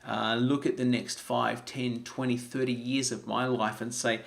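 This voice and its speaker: An earnest man talks through a motivational livestream. His voice sits at an ordinary mid pitch, and he often repeats words and restarts his sentences as he thinks aloud. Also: little pitch movement, a little slow, vocal fry. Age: 40s. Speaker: health vlogger. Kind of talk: live-streamed motivational talk.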